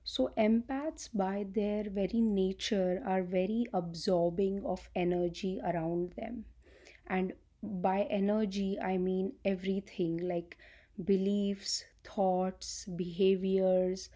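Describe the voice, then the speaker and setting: A young woman talks gently to her audience at a slow, measured pace. Her voice is mellow and warm, with many fillers as she explains, and she records without a proper microphone. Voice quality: low-pitched voice by her own account. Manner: soft-spoken, slow and explanatory, frequent fillers. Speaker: channel host and healing coach. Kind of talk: casual talk to camera. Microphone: no microphone, recorded on device.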